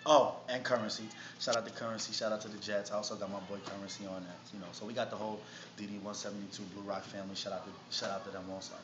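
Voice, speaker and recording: A man's voice: on the low side, level tone, racing, few pauses. A man from Harlem with a New York accent, talking casually and in slang as a rapper being interviewed. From Harlem, New York City. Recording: noisy, muffled, echoey room recording.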